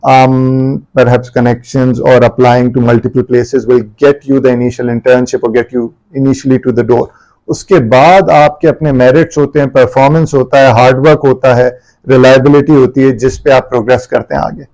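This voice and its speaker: A man from Pakistan with a Pakistani accent, Urdu his first language, answering interview questions as a guest barrister in a measured way and mixing English with Urdu. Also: mid-range voice, level tone, darkish tone, poor audio.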